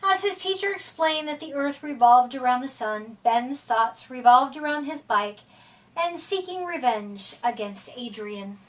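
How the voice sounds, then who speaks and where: A woman reads a children's story aloud in a high voice. She takes her time, stretching words for dramatic emphasis. The mic picks her up from some distance. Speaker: storybook reader. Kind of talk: expressive read-aloud of a children's picture book.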